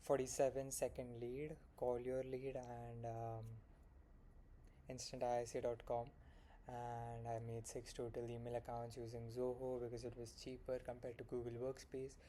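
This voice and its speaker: A man's voice: mid-range voice, monotone, moderate pace, warm tone, slight background noise. A young man with an Indian accent talking through his day in a frank, rambling late-night vlog, full of fillers and self-corrections. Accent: Indian English. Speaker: solo founder vlogging his progress.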